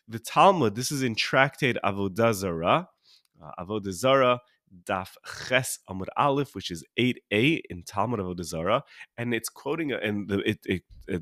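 An American man with a fairly low voice, slightly sniffly from a cold, recorded dry and close. He teaches like a podcast host talking to friends, informally, often stopping to rephrase. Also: a bit bright, dry recording. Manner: informal, halting, rephrasing as he goes. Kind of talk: conversational solo podcast teaching.

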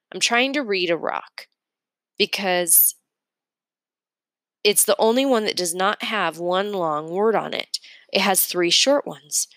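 A woman's voice: lowish voice, sharp tone, dry recording. A woman narrating a children's novel aloud in a storytelling read-aloud style. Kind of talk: expressive audiobook-style reading of a children's novel.

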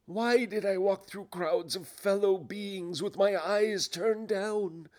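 Storybook phantom: anguished, remorseful, declamatory, pitched high with long drawn-out cries. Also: male voice, a little mellow. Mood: sorrowful lament. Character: tormented male ghost, wailing, preachy, remorseful old business partner. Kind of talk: dramatised reading of a classic ghost story.

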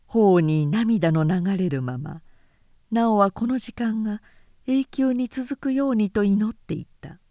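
A woman narrator reads literary fiction with composed, careful phrasing. Her pace is slow and her tone soft-edged and mellow, in a close, dry recording. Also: medium pitch, no echo. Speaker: narrator and reader. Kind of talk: literary story reading, scripted narration.

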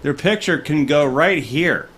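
A man co-hosting a comedy podcast, crude and sarcastic, swinging from tired mumbling to loud rants. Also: fairly high pitch, expressive, measured pace, slightly rough. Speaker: podcast co-host. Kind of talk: casual comedic chat.

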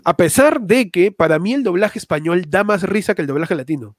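A man's voice: high-pitched, expressive, brisk, no echo, studio quality. A man chatting casually about stand-up comedy, rambling, restarting often and using lots of slang. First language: not Spanish.

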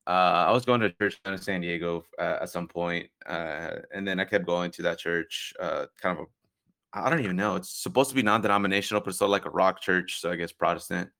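Young man, very deep voice, gravelly fry, rambling casual talk, lots of fillers. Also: fast talker, pushed and bright. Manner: rambling, many fillers and restarts. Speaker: podcast guest. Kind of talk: casual conversation.

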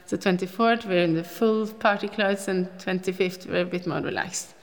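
A woman's voice: mid-range voice, steady tone, normal speed. A woman in her fifties from Norway, speaking with a Norwegian accent in a casual interview and telling stories in a chatty, rambling way. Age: 50s. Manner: chatty, rambling with restarts and laughs.

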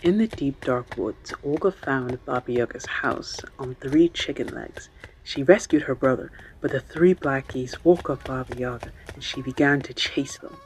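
A man's voice: medium pitch, normal speed, a little hiss or hum, natural voice, rough recording. A man narrating a children's radio play as a scripted storybook tale.

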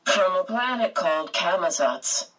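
A grave, plain-spoken middle-aged father, read by a woman in an audiobook. His words come slowly and distinctly in a lowish register, slightly distant from the mic. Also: breathy edge. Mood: solemn, steady. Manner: slow and distinct. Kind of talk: audiobook character voice.

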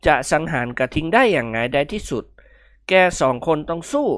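A woman voicing a calm young doctor who lectures his friends firmly in a storybook reading. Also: deep voice, brisk, no room sound, clean studio sound. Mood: lecturing.

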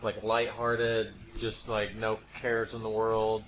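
A man's voice: on the low side, monotone, slow, fairly bright tone, echoey room, low-quality recording. Casual male podcaster, faint background noise. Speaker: podcast co-host.

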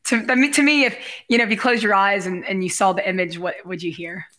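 A woman with a raspy voice chatting casually as an interview guest, in an effusive, giggly, rambling way. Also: mid-range voice, fairly even intonation, fast talker, fairly bright tone. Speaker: guest, realtor and musician.

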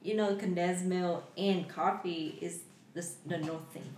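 A woman's voice: lowish voice, steady tone, measured pace, a little mellow. A young woman from Vietnam with a Vietnamese accent, chatting casually as a podcast guest, with many fillers and loose grammar. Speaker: guest, coffee shop manager. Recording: roomy echo, distant mic, faint noise.

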